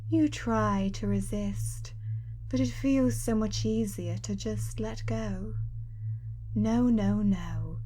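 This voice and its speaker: A young woman performing an ASMR hypnosis roleplay, hushed and partly whispering close to the mic, with a teasing, coaxing, controlling manner. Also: mid-range voice, slow, slight background noise. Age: young adult.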